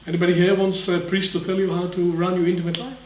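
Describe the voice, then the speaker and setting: A man's voice: high voice, little pitch movement, fast talker, slight background noise. Emphatic man lecturing in a hall. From Israel. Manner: emphatic, speaks in short phrases, acts out voices. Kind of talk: live lecture with interpreter. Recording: echoey hall, voice from far off.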